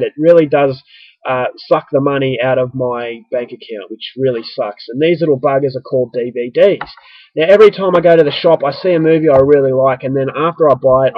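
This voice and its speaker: A man's voice: mid-range voice, no room sound. A man with an Australian accent talks to camera in a loose, joking vlog, his voice a little stuffy as if he is getting a cold. The sound is dry and slightly dull, like a modest home recording. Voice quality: chatty, self-mocking, rambling. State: stuffy with early flu. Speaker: vlogger. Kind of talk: casual vlog talking to camera.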